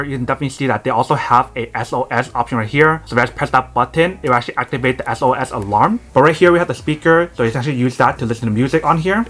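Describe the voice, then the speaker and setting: Fast-talking man demoing a gadget. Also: mid-range voice, fairly bright tone. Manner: rapid, repetitive filler phrasing, addresses viewers as "you guys". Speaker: product reviewer. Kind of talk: casual product demo narration.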